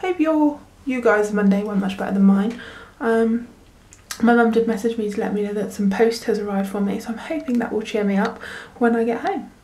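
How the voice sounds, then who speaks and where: A woman's voice: on the high side, a little fast, mellow. A woman, chatting casually in a book vlog, full of ums and likes, sniffly and congested from a cold. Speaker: book vlogger. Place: work bathroom.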